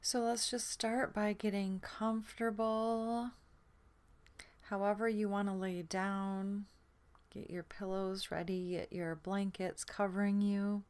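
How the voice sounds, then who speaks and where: A woman with a mid-pitched, soft voice leads a sleep meditation. She speaks slowly and gently, leaving room between phrases. Her words often fade into a breathy, soothing near-whisper. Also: level tone. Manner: soothing, gentle, unhurried with long pauses. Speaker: guided meditation instructor.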